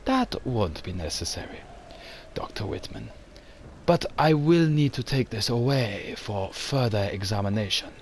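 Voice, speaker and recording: A man's voice: on the low side, animated, unhurried, a little hiss or hum. A man voicing a cold, suspicious crime boss with a formal, commanding manner in an amateur motion comic.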